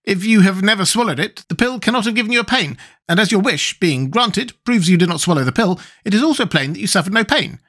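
A man voicing a pompous, self-important, highly educated giant insect in a storybook. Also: animated, quick pace, hardly any pauses, fairly bright tone. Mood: pompous, certain. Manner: pompous, declarative.

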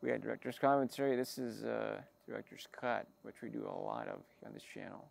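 A man giving a rambling, wry personal monologue, halting and often interrupting himself as he talks. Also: on the low side, huge pitch swings, vocal fry. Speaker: creator of an audio log channel.

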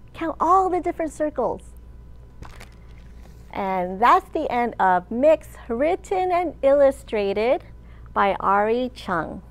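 A woman in her thirties, a children's librarian leading storytime with an animated, sing-song delivery for young children. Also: high voice. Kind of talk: children's storytime read-aloud and teaching. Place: large open library room, indoors.

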